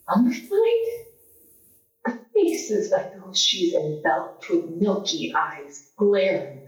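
An actress playing a tormented, fearful young woman in a supernatural audio drama, speaking in halting, hushed dramatic phrases. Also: medium pitch. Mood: entranced, morbid. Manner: halting, breathy half-whispered, dramatic delivery. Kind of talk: found-footage horror audio drama monologue. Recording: echoey, roomy sound.

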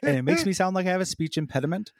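A man's voice: high-pitched, big pitch swings, vocal fry, noisy background. A man co-hosting a podcast in casual chat, with a froggy voice, talking carefully around a sore tooth, full of fillers and restarts. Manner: halting, lots of fillers and restarts. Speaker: podcast co-host.

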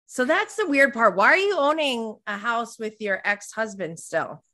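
A woman's voice: fairly high pitch, animated, moderate pace, pushed and bright. A woman in her thirties co-hosting a casual, conversational TV recap chat. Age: 30s. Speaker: podcast co-host.

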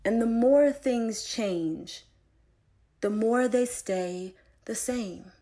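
A woman poet reading her own work aloud in a measured, deliberate recitation, phrase by phrase with pauses. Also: a little high, dark tone.

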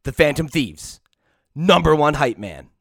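A man's voice: a little high, very animated, measured pace, vocal fry, a bit bright, no room sound, clean studio sound. A man hosting a video game podcast, upbeat and playful, chatting casually with lots of "you know" and "right". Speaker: podcast host.

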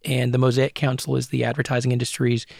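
Gravelly, measured American man in interview. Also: mid-range voice, completely flat delivery, a little mellow, no echo, studio quality. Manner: measured, restarts phrases, tags with "right?". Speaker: guest, advertising strategist and academic. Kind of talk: interview answers.

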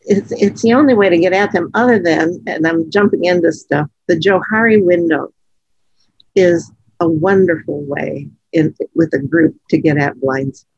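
A woman co-hosting a podcast, chatting frankly and laughing often, her voice low from allergies. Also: normal speed, vocal fry, darkish tone, slightly rough, dry recording, lo-fi sound. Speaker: podcast co-host. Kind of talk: casual conversational podcast.

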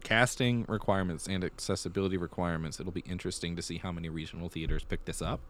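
A man with a low-pitched voice speaks as a podcast host in casual conversation. He thinks aloud as he goes, circling back and repeating phrases while he works through the news. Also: steady tone, fast, fairly bright tone. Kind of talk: casual theater news chat.

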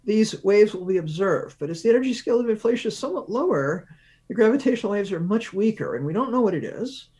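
Male interview guest, scientist type, slightly high voice, explains step by step with analogies and hesitant pauses. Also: darkish tone. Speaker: guest research physicist.

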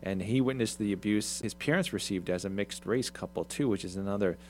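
A man hosting a talk show, summarizing an article in a halting way, with many ums and restarts. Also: lowish voice, darkish tone, faint music behind. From Irish, Swedish ancestry mentioned. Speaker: radio show host. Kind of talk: casual talk show discussion.

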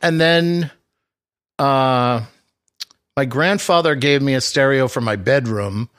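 Man, 40s, ex-radio DJ, dry self-deprecating storyteller, halting, mid-pitched voice. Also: a bit bright, clear, resonant tone. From Boston area, US. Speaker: radio DJ guest. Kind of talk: casual interview chat.

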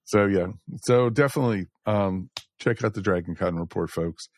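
Man, low voice, dry close sound, folksy casual podcast host. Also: normal speed, no echo. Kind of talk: casual chatty podcast outro.

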